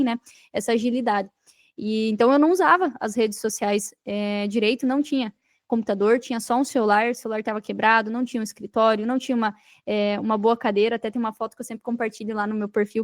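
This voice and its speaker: A woman's voice: fairly high pitch, no echo, studio quality. A woman with a Brazilian Portuguese accent talking as a podcast guest, telling her story emphatically with repeated phrases and rhetorical questions. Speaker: podcast guest, digital marketing entrepreneur. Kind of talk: conversational interview storytelling.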